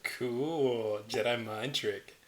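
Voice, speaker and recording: A man's voice: medium pitch, drawn-out words, echoey room, noisy background. A man reading a curious young human at a table read, casual and a bit hesitant. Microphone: online voice call.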